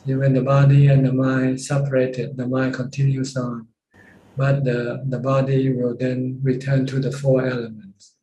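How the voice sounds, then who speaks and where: A man with a Thai accent teaching as a Buddhist monk in an informal question-and-answer talk, halting and plain-spoken. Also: mid-range voice, level tone, mellow. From Thailand. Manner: halting, with pauses and repeated phrases. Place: video call from a roomy space. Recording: roomy distant mic with background noise.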